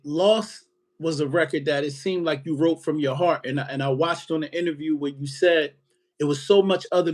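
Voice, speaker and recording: A man hosting a podcast, asking questions in a relaxed way with frequent short affirmations. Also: on the high side. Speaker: podcast host. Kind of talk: casual interview questions.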